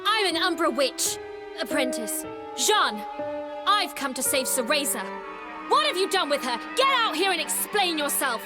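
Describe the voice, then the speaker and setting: A woman's voice: high-pitched, big pitch swings, moderate pace, over loud music. A woman playing a bold, sly young apprentice witch, commanding and haughty, in a video game. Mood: alarmed, demanding. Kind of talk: video game character acting.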